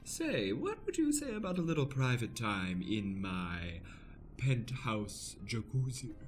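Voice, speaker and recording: A man voicing a vain, flirtatious, faintly sinister airship hostess in an improvised comedic radio play, with theatrical, swooning, drawn-out delivery. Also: medium pitch, big pitch swings, slight background noise. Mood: seductive.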